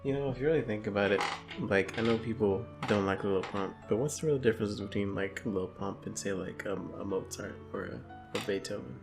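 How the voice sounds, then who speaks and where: A man's voice: on the low side, fairly quick, gravelly. A young man co-hosting a casual comedy podcast, talking in a rambling, slangy, jokey way. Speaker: podcast co-host. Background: music.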